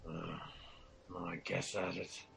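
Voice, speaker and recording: Slow, creaky-voiced nobleman, acted by a man. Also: sits low, flat delivery, fairly bright tone, a little hiss or hum, full character voice. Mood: grief-stricken. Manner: slow, emotional, dramatic verse delivery. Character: Macduff, a grief-stricken Scottish nobleman turned vengeful. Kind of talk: Shakespearean radio drama acting.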